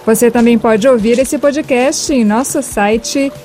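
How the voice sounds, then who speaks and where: A woman's voice: on the high side, measured pace. A woman with a Brazilian accent reading scripted narration as host of a news podcast.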